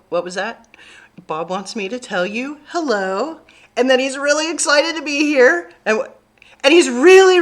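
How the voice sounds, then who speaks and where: A woman with a high voice leads a story time for young children. She takes her time, with wide swings of pitch and an expressive, animated delivery as she talks to them and reads aloud.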